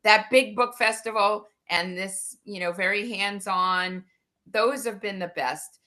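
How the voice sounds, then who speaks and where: Slow-paced, bright-voiced woman being interviewed. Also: medium pitch. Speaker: interviewed author. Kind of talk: conversational interview answers.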